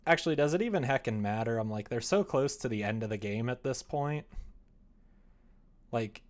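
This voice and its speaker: A young adult man chatting casually on a livestream as he works, muttering to himself and then growing animated. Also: mid-range voice, moderate pace. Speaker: livestreamer developing a visual novel. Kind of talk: casual livestream chat while working.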